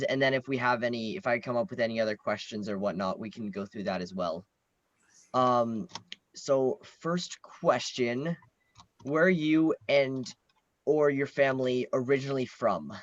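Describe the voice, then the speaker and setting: A man's voice: medium pitch, moderate pace, no room sound. A young man conducts a casual interview in a laid-back, slightly hesitant manner. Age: teen or young adult. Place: online video call. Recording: dull lo-fi call audio.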